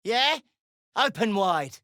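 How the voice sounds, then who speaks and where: Female gnome, game character, foul-mouthed, defiant, gruff, sardonic, unhurried, animated intonation, dry recording. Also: medium pitch, no room sound. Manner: brash, gruff, sardonic, swearing. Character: foul-mouthed, defiant, suspicious deep gnome woman prisoner. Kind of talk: video game voice acting.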